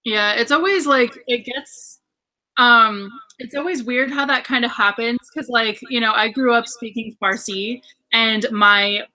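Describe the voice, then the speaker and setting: Woman, upbeat livestream co-host, bright and slightly high voice, giggly, home computer mic. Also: rough recording. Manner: upbeat, giggly, says "awesome" a lot. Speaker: book club livestream co-host. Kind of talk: casual chat.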